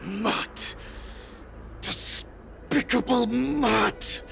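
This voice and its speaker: An actor playing a contemptuous beast-kin villain in a game cutscene, hissing his words slowly and spitefully. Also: extremely high pitch, vocal fry, fairly bright tone, heavily acted. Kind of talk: video game cutscene acting. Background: game score.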